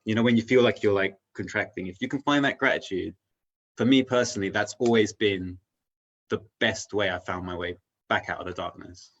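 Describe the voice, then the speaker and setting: The recording is a little muffled and lo-fi. A man with a lowish voice talks as a guest in a relaxed conversation. He is earnest and philosophical, rambling through his thoughts and checking that he is followed. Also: fairly quick, husky. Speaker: interview guest. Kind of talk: casual reflective conversation.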